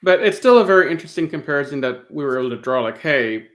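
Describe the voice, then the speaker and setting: A man's voice: a little high, expressive, quick pace, fairly bright tone. A man hosting a podcast, chatting casually through a review in a thoughtful, appreciative way and rephrasing as he goes. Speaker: podcast host.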